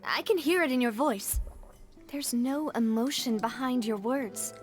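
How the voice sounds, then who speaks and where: A woman's voice: fairly high pitch, a little slow, soft background music, close to an everyday voice. An actress playing a proud, wounded young noblewoman in a video game, articulate and dramatic. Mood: bitter, accusing. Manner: articulate, dramatic, emotionally direct. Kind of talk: English video game voice acting.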